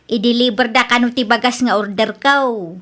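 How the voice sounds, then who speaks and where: A woman acting as a middle-aged Ilocano storekeeper mother in a radio drama. Her voice is very high and sharp, and she talks in an expressive, nagging way. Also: normal speed, close to an everyday voice. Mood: worried, coaxing. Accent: Ilocano Filipino. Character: bossy, chatty middle-aged mother running a small store.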